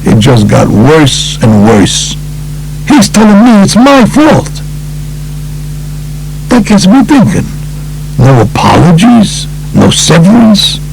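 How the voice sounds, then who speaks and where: A male narrator plays a tough mafia underboss, blunt, profane and indignant. The voice is gravelly and pitched fairly high, swinging widely as he airs his grievance in an audiobook, with music underneath. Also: huge pitch swings, takes it slowly, long pauses, a little mellow, exaggerated voice, low-quality recording. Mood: aggrieved. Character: aggrieved, profane, tough male New York mob underboss.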